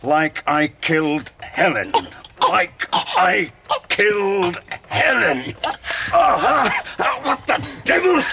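A man's voice: high voice, very animated, slow pace, heavy background noise, heavily acted. A man playing an obsessive, deranged doctor turned murderer in a radio drama, anguished and menacing. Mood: anguished madness. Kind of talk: British radio drama acting.

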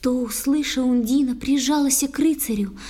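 A woman narrating a verse tale for an audio play in a soft, measured, lyrical way. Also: high voice. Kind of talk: tender dramatic verse storytelling. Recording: music under the voice. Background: music.

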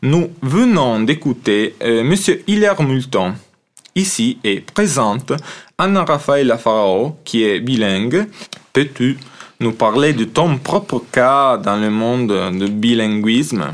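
Slow, measured male radio host. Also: mid-range voice, lively intonation. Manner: measured, formal introductions. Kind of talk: radio presenting.

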